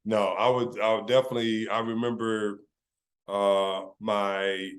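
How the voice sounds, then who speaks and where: A man from California hosting a casual interview, relaxed and chatty, with lots of "yeah, yeah" and "you know". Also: on the low side, measured pace. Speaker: interviewer, host. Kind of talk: casual chat.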